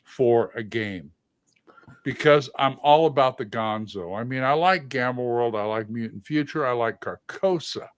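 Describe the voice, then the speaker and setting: A man hosting a livestream, chatting casually about tabletop games, opinionated and halting with sudden loud, excited bursts. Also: mid-range voice, clear, resonant tone. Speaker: livestream host.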